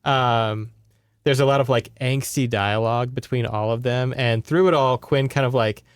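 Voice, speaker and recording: Smooth, dry-recorded man chatting casually. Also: mid-range voice, steady tone, normal speed, no room sound. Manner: rambling, conversational, sardonic asides. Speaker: podcast co-host. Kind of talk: casual book recap chat.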